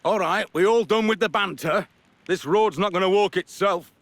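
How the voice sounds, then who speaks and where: An actor playing a brisk, no-nonsense band member in a video game cutscene. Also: male voice, high-pitched, a bit bright, no echo. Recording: music behind the voice.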